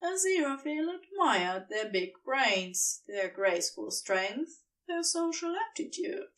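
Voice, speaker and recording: A woman narrating scripted fiction as an audiobook reader, with expressive storytelling and deliberate phrasing. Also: sits high, distant mic.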